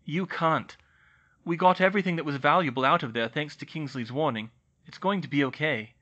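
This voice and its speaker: A man reading aloud voices a gentle father who comforts and reassures in a storybook. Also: fairly high pitch, a little fast, highs cut off, voice pushed up. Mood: reassuring. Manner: soothing, reassuring.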